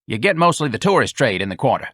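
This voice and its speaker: A man voicing an irate, blunt male hot dog vendor boss who shouts coarse insults in an audiobook. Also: medium pitch, sing-song, quick pace, no room sound, studio quality, pitched above the performer's own voice. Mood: gruff. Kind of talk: audiobook dialogue.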